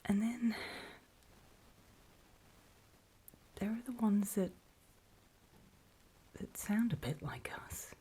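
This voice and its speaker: A woman playing a weary, wary zombie apocalypse survivor in a halting, breathy whispered ASMR horror roleplay. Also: mid-range voice, expressive, dark tone, heavily acted. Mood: uneasy. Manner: halting, hesitant, slow and trailing off.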